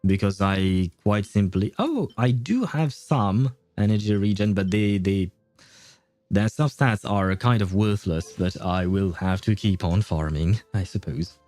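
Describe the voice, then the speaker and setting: Guy streaming a game, soft mellow voice, rambles and thinks out loud, chuckles a lot, dry close mic. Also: lowish voice, animated, unhurried, dry recording. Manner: hesitant, thinking aloud, chuckling often. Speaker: game livestreamer. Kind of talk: casual livestream chat and commentary.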